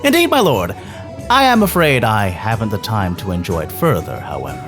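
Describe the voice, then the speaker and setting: An actor playing a sly hired poisoner in a fantasy audio drama, with a theatrical, mock-courteous manner. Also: male voice, on the low side, big pitch swings, fairly quick, over music. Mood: mock-polite. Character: sly, gloating male poisoner, hired killer.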